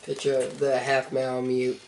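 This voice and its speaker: A young man with a hoarse, stuffy voice giving a rambling, hesitant book review, full of fillers like "uh" and "so.". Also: medium pitch, completely flat delivery, normal speed. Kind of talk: casual video review. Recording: roomy, mic at a distance, faint noise.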